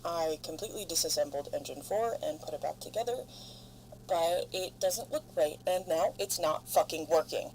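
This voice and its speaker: A woman playing a frightened, foul-mouthed stranded spaceship crew member, recording halting, weary log entries in an audio drama. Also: on the low side, a little mellow, a little hiss or hum, close to an everyday voice, rough recording. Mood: frustrated, angry.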